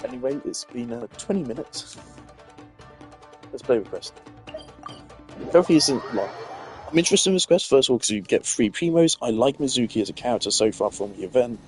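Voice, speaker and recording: A man's voice: mid-range voice, animated, fairly quick, long pauses, soft background music. A man streaming a video game, giving casual, opinionated commentary full of filler words.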